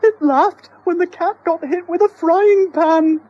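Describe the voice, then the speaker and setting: A man's voice: unhurried, muffled recording. A man voicing a panicky, bossy middle-aged wife who screeches and scolds. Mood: panicked. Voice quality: shrill, screeching. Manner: bossy, exasperated.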